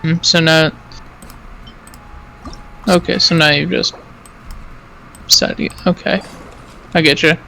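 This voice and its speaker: A man's voice: fairly high pitch, level tone, slow, vocal fry, pauses often, sharp tone. An easygoing, agreeable man chatting casually as a companion on a gaming stream, over noisy audio. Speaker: co-commentator in gaming chat. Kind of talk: casual chat.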